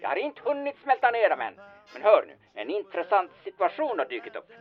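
A man's voice: sits high, wildly swooping pitch, phone-quality sound, soft background music, full character voice. A man playing a bossy, scheming small-time fixer in an audio drama, insistent, sardonic and conspiratorial. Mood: bossy, urgent.

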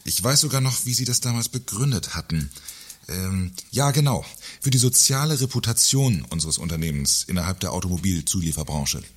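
A man acting a gruff, sarcastic, pompous middle-aged company director in a satirical radio play. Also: low-pitched, expressive, fairly quick. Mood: condescending.

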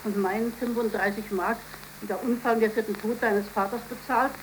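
A woman acts out a money-minded, middle-aged mother in a 1930s German radio drama. She chides briskly in a fairly high voice. Clear noise runs through the old recording. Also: steady tone, lightly acted. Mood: chiding. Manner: brisk, chiding. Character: practical, scolding, money-minded widowed mother. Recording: noisy 1931 radio recording with sizzling hiss.

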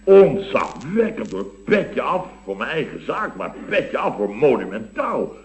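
An actor playing a blustering, sentimental middle-aged building contractor in a radio comedy, rambling and exclamatory. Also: male voice, mid-range voice, big pitch swings, a little slow. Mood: awed, effusive. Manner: rambling, exclamatory, with repeated tag words. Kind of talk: Dutch radio comedy acting.

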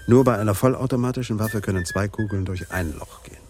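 An actor playing a sceptical, dry-witted police detective, matter-of-fact, in a radio crime play. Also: male voice, fairly low pitch, fast, darkish tone, natural voice. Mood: reporting.

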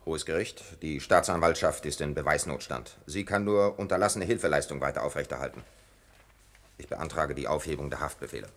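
An actor playing a formal, insistent prosecutor in a radio play. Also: male voice, a bass voice, fairly quick. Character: formal, pressing male courtroom prosecutor. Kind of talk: German radio play acting. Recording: older radio recording with background noise.

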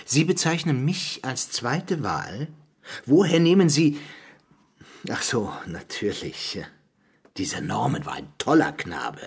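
An actor playing an ambitious, defensive politician with a bitterly sarcastic, indignant manner in a radio drama. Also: male voice, medium pitch, very animated, slow pace, vocal fry, slightly rough, heavily acted. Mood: bitter sarcasm. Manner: indignant, sarcastic, swinging from hushed to loud.

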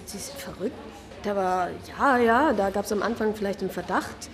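A woman's voice: medium pitch, normal speed, lightly acted. An actress playing a hesitant yet adamant girlfriend of an accused man, giving an interview in a radio drama. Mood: hesitant, incredulous. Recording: long reverb, music behind.

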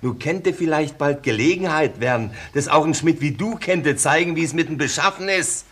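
Cocky young weaver, kinda high voice, taunting, radio drama. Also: male voice, moderate pace, slightly rough. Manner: taunting, boastful. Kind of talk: German radio play acting.

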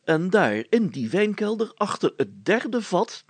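A man voicing a pompous, fussy older village mayor in a storybook, formal, deliberate and full of hesitant hemming. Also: sits high, very animated, husky, no echo, pitched above the performer's own voice, heavily acted. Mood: stately, determined.

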